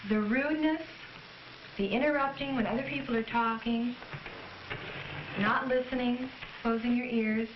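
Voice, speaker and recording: A woman's voice: a little high, fairly even intonation, moderate pace, pauses often. A woman, a mother in family conversation, recorded for a documentary-style film. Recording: muffled, noisy old educational film soundtrack.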